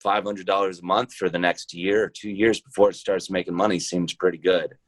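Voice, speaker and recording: A man speaking casually as an interview guest, pausing often to think as he goes. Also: deep voice, little pitch movement, no room sound. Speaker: podcast guest, role-playing show founder and trucker.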